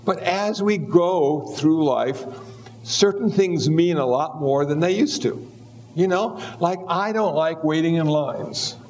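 Older man, 70s, Buddhist teacher; relaxed, self-mocking delivery, slightly higher-than-average voice. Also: slow pace, a little hiss or hum. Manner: easygoing, wry, acts out little voices. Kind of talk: humorous dharma talk.

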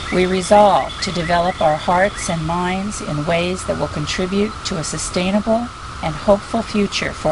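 Woman, bit low voice, solemn formal reading, noisy outside. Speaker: committee member reading a statement. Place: outdoors at a rural hermitage. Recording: noisy outdoor ambience. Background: clear outdoor background noise.

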